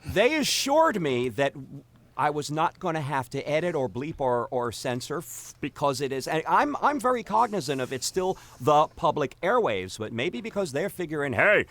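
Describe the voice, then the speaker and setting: A man chatting casually and telling a story, with halting repetitions and acted-out reported conversations. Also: on the high side, big pitch swings, fairly bright tone. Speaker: podcast cast member. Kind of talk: animated casual group chat, storytelling.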